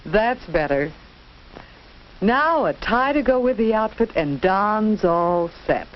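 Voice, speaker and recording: A woman's voice: medium pitch, lively intonation, slow pace. A woman giving scripted, instructive narration in a 1940s educational film style, carefully enunciated. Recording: dull, noisy 1940s film soundtrack.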